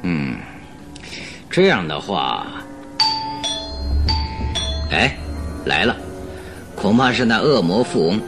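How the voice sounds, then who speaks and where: An actor playing a self-assured, blunt master detective in a radio drama, composed and terse. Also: male voice, sits low, very animated, slow, pauses often, over music, full character voice. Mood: pensive. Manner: terse, composed, dryly dismissive.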